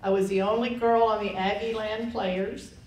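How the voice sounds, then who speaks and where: A woman's voice: medium pitch, fairly even intonation, normal speed, a little mellow. A woman in her sixties from Texas, telling anecdotal stories at a lunch lecture and laughing at her own tales. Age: 60s. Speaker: lecture panelist. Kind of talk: storytelling talk at a lunch lecture. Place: lecture room. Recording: echoey room, distant mic, faint noise.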